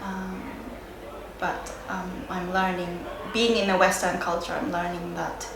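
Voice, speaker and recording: A woman from Japan with a Japanese accent, a native Japanese speaker giving reflective, unscripted interview answers, hesitant and searching for words with frequent pauses. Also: fairly low pitch, level tone. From Sendai area, Japan. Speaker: interviewee, yoga and Japanese teacher. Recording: roomy echo, clear background noise.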